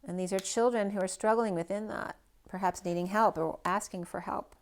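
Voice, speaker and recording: A woman's voice: medium pitch, steady tone, a little fast, vocal fry. A woman giving a general tarot reading for a star sign. She rambles loosely, stacks up one possibility after another and thinks aloud with frequent "right?" asides. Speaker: tarot reader.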